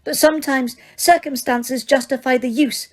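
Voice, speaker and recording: A woman voicing a menacing, prim middle-aged witch official in a high, tight, coldly insistent voice. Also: fairly even intonation, moderate pace. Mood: agitated.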